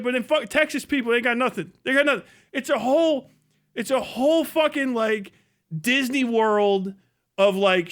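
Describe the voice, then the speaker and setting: A man with an extremely high, bright voice hosts a livestream in casual, ranting style. He swears freely and tells mocking, exaggerated stories, often laughing as he talks. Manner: profane; laughs often while talking. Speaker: livestream host. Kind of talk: casual comedic rant.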